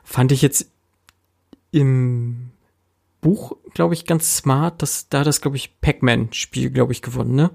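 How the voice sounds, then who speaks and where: A man's voice: mid-range voice, steady tone, slow, creaky, darkish tone, husky. A man co-hosting a casual film discussion podcast, often checking for agreement with short tag questions. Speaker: podcast co-host.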